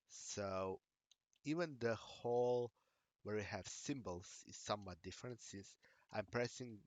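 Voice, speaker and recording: Low-voiced Slovenian man, halting delivery. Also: no room sound. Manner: hesitant, halting, self-correcting. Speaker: tech streamer. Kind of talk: live screen-share tutorial.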